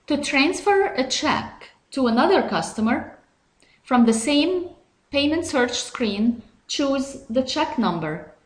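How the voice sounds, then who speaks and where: A woman reading scripted step-by-step software instructions in a measured, instructional way. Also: sits high, big pitch swings, roomy sound. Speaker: software tutorial narrator.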